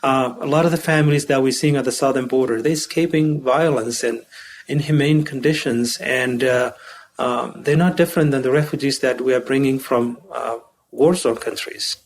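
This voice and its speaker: A man who directs refugee services, answering interview questions in a halting way with many fillers and restarts. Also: medium pitch, steady tone.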